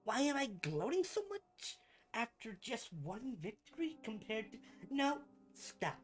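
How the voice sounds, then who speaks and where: A man's voice: very high voice, sing-song, measured pace, faint music behind, heavily acted. A man playing a pompous, melodramatic supervillain in a scripted ASMR roleplay, a bumbling rival who slips between grand speeches and chatty asides. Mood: flustered, irritated. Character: theatrical, bumbling supervillain, gloating yet secretly caring rival.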